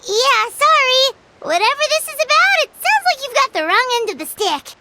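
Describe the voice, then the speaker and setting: A woman voicing a small, chatty non-human companion creature who talks bluntly and excitably in a video game. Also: very high-pitched, normal speed, heavy background noise.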